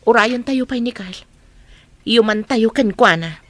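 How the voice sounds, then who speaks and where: A woman's voice: a little high, unhurried, a bit bright. Woman playing a guarded, protective eldest sister. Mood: serious. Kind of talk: radio drama acting.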